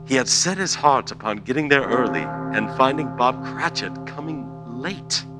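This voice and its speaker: A man narrating a radio play as a scripted story, theatrical and measured. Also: mid-range voice, animated, music in the background.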